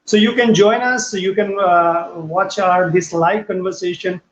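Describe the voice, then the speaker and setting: Male interview host, halting delivery, echoey room sound. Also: high-pitched, fairly even intonation. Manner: halting, many restarts and fillers. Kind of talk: live online interview. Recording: echoey, dull, lightly noisy call audio.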